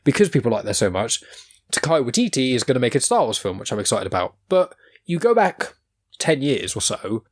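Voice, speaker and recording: A man's voice: medium pitch, big pitch swings, a little fast. Enthusiastic man hosting a chat. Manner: enthusiastic, opinionated, rambling with restarts. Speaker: podcast host. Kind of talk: casual chat.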